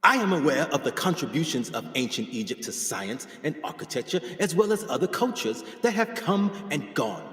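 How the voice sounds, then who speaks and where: An actor playing a proud, passionate 1920s explorer and scholar in a radio play, speaking in oratorical style. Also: male voice, a little high, very animated, fairly quick, hardly any pauses, a bit bright. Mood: grand, persuasive. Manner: declamatory, oratorical. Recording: echoey hall with long reverb.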